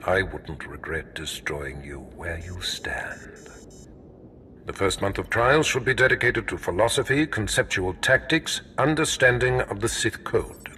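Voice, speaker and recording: Casting: contemptuous Sith master, game villain; low, unhurried, hard-edged, cold. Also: male voice, expressive, pushed and bright, slight background noise. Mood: contemptuous lecturing. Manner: cold, deliberate, lecturing. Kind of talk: video game voice acting.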